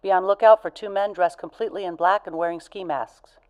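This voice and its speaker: A woman playing a brisk, official police dispatcher reading bulletins in a radio play. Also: lowish voice, fairly even intonation, a little mellow, muffled recording, close to an everyday voice. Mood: urgent, official.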